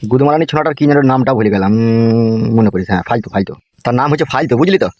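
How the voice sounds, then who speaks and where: Man playing crude cartoon elder brother, very quick speech, grumbling, aggrieved, dry sound. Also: medium pitch, expressive, darkish tone, no room sound, highs cut off, natural voice. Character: crude, aggrieved big brother, sweary philandering husband. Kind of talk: comic cartoon dialogue.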